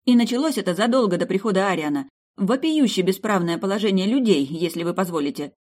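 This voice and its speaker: A narrator voicing a bookish young man in a storybook, earnest, excitable and bashful. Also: mid-range voice, quick pace, voice pushed up, lightly acted. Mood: nervous, earnest.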